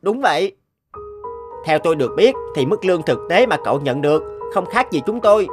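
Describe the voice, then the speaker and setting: A man's voice: high-pitched, big pitch swings, brisk. Cartoon friend: principled young man, sincere, plain-spoken, well articulated, music bed behind. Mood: concerned, advising. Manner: earnest, frank, articulate. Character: concerned, principled young male academy friend. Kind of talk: cartoon dubbing.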